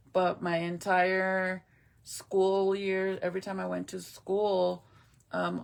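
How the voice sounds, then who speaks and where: A woman's voice: on the low side, vocal fry. A woman whose first language is Spanish, chatting casually with her viewers on a live stream, telling stories and swearing freely.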